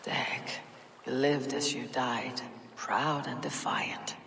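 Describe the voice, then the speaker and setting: An actress playing a stern, weary young Viking warrior leader in a video game, speaking bluntly and solemnly. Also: female voice, very deep voice, slow pace, vocal fry, background noise, heavily acted. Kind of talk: video game cutscene acting.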